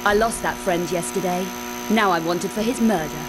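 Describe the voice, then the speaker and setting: A woman's voice: on the low side, moderate pace, noisy background, natural voice. An actress with a British accent playing a cool, determined young adventurer woman on the run in a video game cutscene, speaking sharply. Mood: cool, guarded. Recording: music under the voice.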